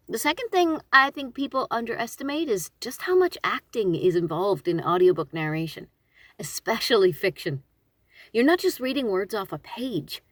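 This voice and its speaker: A woman giving a casual instructional talk, conversational and expressive, with emphatic phrasing. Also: a little high, no room sound, studio quality. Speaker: audiobook narrator and voice actor.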